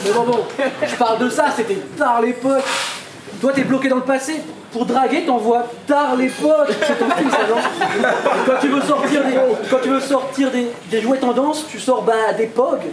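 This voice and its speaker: A man's voice: very high-pitched, fairly even intonation, normal speed. A man battle rapping a cappella, mocking his opponent with taunting punchlines. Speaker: battle rapper. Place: event hall with a crowd. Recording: echoey hall with crowd noise.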